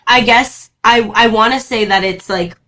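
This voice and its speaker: A young woman from Brazil, native Portuguese speaker, talks as an interview guest with a bright, forceful tone and a slightly high voice. She is lively, laughs often and keeps restarting her sentences as she tells her story. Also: moderate pace. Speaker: actor guest. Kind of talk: casual interview chat.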